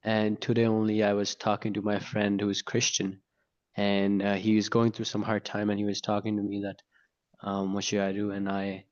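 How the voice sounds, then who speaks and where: A man asks a question hesitantly, restarting his sentences. His low voice stays flat. The audio is dull and lo-fi. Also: moderate pace. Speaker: audience member asking questions.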